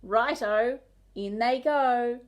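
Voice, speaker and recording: A woman's voice: on the high side, drawn-out words. A woman voicing a greedy, boastful male dingo cook in a children's picture book, gloating and sing-song. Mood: gleeful, boastful.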